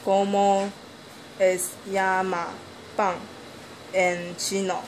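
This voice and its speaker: A woman off camera reading interview questions slowly, with small stumbles. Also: mid-range voice, slight background noise, poor audio. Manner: slow, flat reading with stumbles.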